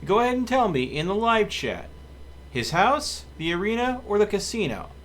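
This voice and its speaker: Male livestreamer, casual gameplay commentary, lively pitch, unhurried, faint noise behind. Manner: deliberate, thinking aloud. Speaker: video game livestreamer.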